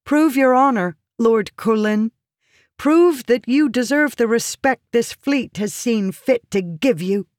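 A woman's voice: on the high side, unhurried, a little mellow, no echo, clean studio sound, pitched above the performer's own voice, close to an everyday voice. A woman voicing a pleading, calculating noblewoman in an audiobook, intense and feminine. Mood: intense challenge. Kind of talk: audiobook character dialogue.

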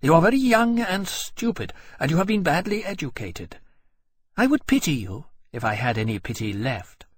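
A man voicing a cool, disdainful female dragon who speaks slowly and bitterly. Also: a little high, very animated, slightly rough, no room sound, voice pushed up. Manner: cool, contemptuous, slow and measured.

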